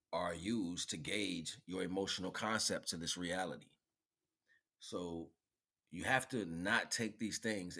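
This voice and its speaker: A man giving a rambling, informal spiritual monologue, preaching in an animated way with repeated phrases and slangy check-ins with his listeners. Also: low voice. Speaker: spirituality vlogger, livestream host.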